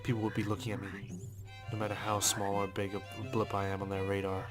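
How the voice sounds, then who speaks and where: A man reading visual novel text aloud as a let's player, in a measured, understated storytelling manner with brief asides. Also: lowish voice, completely flat delivery. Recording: music behind the voice. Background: game music.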